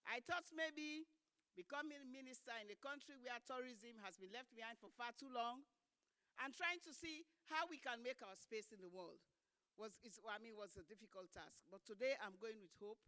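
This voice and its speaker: Female minister, earnest conference speech, bright and forceful tone, emphatic repeated phrases. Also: a little high, moderate pace, clear, resonant tone. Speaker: government tourism minister.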